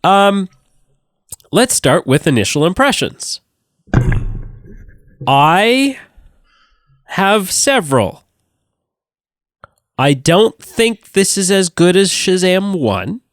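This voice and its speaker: A man hosting a casual movie review podcast, sick and sounding nasal, animated and swearing freely. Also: high voice, extremely slow, long pauses, no room sound, clean studio sound. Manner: animated, swearing, laughing often. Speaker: podcast host.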